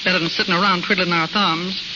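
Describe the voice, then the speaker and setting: An actress playing a brassy, impatient American gang woman with a sharp tongue, in old radio drama style. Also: fairly low pitch, fairly even intonation, quick pace, close to an everyday voice. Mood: impatient, sarcastic. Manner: sharp-tongued, slangy, griping. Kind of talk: 1940s radio drama acting. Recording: muffled, noisy old radio broadcast.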